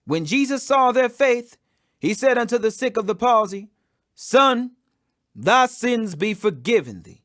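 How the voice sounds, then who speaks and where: A man with a strikingly high voice reads a Bible chapter aloud. He reads earnestly and steadily, lifting his voice whenever he speaks the quoted words. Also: big pitch swings, measured pace, a bit bright. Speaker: scripture reader.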